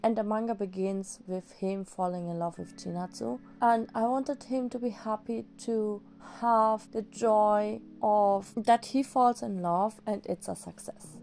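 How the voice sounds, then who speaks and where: A young woman, slightly hoarse after an illness, rambling enthusiastically as she reviews manga in a casual vlog. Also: medium pitch, steady tone, measured pace, hardly any pauses, darkish tone. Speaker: manga vlogger. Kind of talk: casual book review chat.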